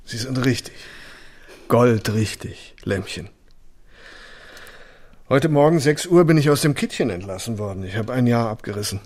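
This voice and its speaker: A man playing a worldly, roguish ex-convict with a soft spot in a radio drama, talking casually in slang with a husky, gravelly voice. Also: medium pitch, lively intonation, moderate pace, long pauses, lightly acted. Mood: wry, fond. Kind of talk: naturalistic radio drama acting.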